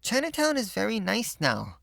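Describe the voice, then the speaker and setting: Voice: medium pitch, wildly swooping pitch, moderate pace, vocal fry, fairly bright tone, no echo, studio quality, voice pushed up, heavily acted. A reader voicing a small eager girl from Chinatown who speaks in broken English. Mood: wistful. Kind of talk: storybook read-aloud.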